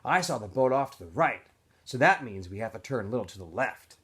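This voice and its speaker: A man's voice: medium pitch, very animated, fairly quick, fairly bright tone. A man voicing a curious, earnest and slightly unsure teenage boy in a read-aloud novel.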